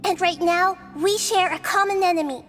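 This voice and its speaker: A woman voicing a gentle, wise little girl deity in a video game, with a soft, youthful, calm and earnest delivery. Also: very high-pitched, normal speed. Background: game music.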